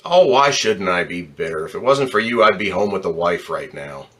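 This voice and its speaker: A jaded, streetwise ambulance driver played by a man in an old-time radio drama. The voice is lowish and forceful, with a gruff, slangy, wisecracking delivery full of cynicism. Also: animated, normal speed. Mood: bitter, grumbling.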